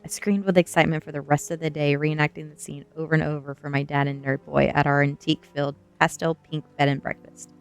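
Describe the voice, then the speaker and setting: A woman streamer with a soft, gentle delivery, reading a book aloud in an ASMR style and chatting casually to viewers. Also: sits low, level tone, fast. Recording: quiet music behind the voice. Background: faint background music.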